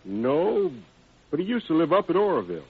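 An actor playing a forthcoming, casual young soldier, open and plain-spoken, in an old radio drama. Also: male voice, a little high, big pitch swings, a little fast, a crowd in the background. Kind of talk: 1940s radio drama acting. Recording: tinny, hissy old radio broadcast.

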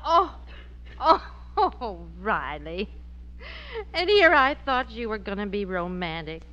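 An actress playing an exasperated but fond American housewife in a 1940s radio comedy, expressive and theatrical. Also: female voice, mid-range voice, slow pace, lo-fi sound, full character voice. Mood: disappointed. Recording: thin vintage radio recording.

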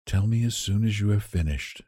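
A man voicing a sick young woman who speaks quietly and wearily. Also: lowish voice, a little fast, slightly rough, dry recording, clean studio sound. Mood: weary. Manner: quiet, tired, near whisper.

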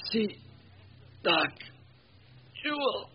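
A man's voice: high voice, sing-song, extremely slow, husky, full character voice. An actor playing a dying injured man, weakly mumbling and gasping in an old radio drama. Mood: weak, desperate. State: weak, gasping. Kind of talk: old-time radio crime drama acting. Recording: muffled vintage radio recording.

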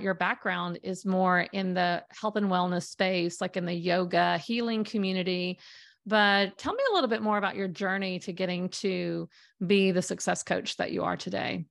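Gravelly, enthusiastic woman host. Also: lowish voice. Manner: enthusiastic, effusive, many fillers. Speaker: podcast host, storytelling coach. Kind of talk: conversational interview hosting.

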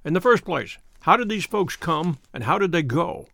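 A man voicing a cool, brilliant male detective who explains his reasoning crisply, like a professor to a class. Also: fairly high pitch, lively intonation, moderate pace, a bit bright, dry recording, exaggerated voice. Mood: brisk lecturing.

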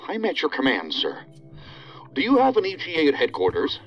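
Guarded federal field leader on a dull comms line, lively pitch swings, deferential, formal, audio drama. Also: male voice, a little fast. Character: dutiful, guarded male federal task force leader. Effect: radio or phone line filter. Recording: dull band-limited call line, music underneath.

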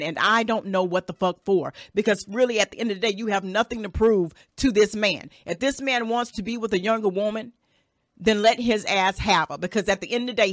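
A woman's voice: medium pitch, dry recording, studio quality. Blunt, profane woman podcaster in her thirties. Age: 30s. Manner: blunt and profane, repeating "okay?". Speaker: podcast host. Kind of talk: casual advice monologue.

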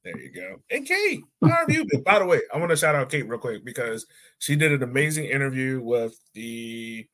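A man's voice: medium pitch, sing-song, fairly quick, a bit bright. A man hosting a sports podcast, chatting casually in a folksy, colloquial way. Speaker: podcast host.

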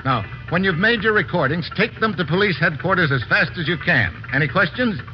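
Brisk American investigator, old noisy radio. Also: male voice, high-pitched, animated, muffled recording. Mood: commanding. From United States. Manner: brisk, clipped, commanding. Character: brisk, resourceful American male investigator giving orders. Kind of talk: old-time radio drama acting.

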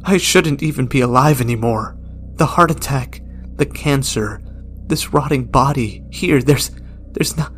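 Brief: man doing a bitter dying old man over 60, raised strained voice, desperate pleading shouts, music under it. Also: mid-range voice, slow, long pauses, lightly acted. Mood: anguished shouting. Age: older.

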